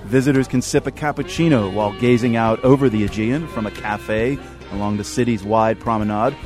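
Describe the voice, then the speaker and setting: A man's voice: on the low side, fairly quick. Male radio host over faint music. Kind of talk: scripted news presenting.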